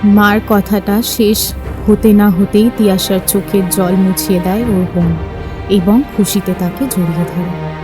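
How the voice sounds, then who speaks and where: A woman's voice: mid-range voice, steady tone, unhurried. A woman narrator reading a scripted audio story. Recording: music clearly under the voice.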